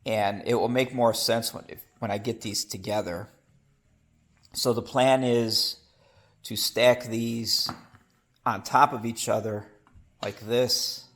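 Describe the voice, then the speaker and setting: A man with a cold, his voice rough and gravelly, chatting casually in his home workshop about building his aircraft, rambling and correcting himself as he goes. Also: lowish voice, steady tone, takes it slowly, pauses often, fairly bright tone. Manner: rambling, self-correcting, with hesitations. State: has a cold.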